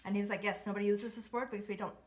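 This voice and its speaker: A middle-aged woman who grew up in Kuwait talks casually as an interview guest. She tells her stories with animation and sprinkles in "right" and "like" at a middle pitch. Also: very fast. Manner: animated storytelling, frequent "right" and "like". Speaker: interview guest, cultural consultant.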